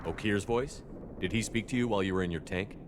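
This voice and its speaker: An actor playing a tough, controlled military commander in a video game, speaking in a terse, direct way. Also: male voice, lowish voice, fast talker, heavy background noise, natural voice. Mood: probing, controlled. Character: tough male military commander, curt, questioning.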